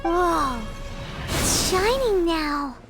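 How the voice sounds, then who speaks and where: Squeaky, extremely high little companion creature. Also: female voice, lively intonation, very slow, full character voice. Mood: amazed. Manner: exclamatory, wide-eyed, squeaky. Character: tiny excitable childlike companion creature, curious and candid. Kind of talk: anime game voice acting. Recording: prominent music behind the voice.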